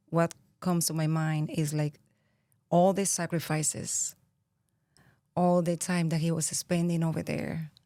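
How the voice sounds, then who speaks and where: A woman in her thirties from Venezuela with a Venezuelan Spanish accent, a podcast guest speaking earnestly and reflectively, pausing often with fillers. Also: sits low, a little mellow, no room sound, clean studio sound. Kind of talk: interview conversation.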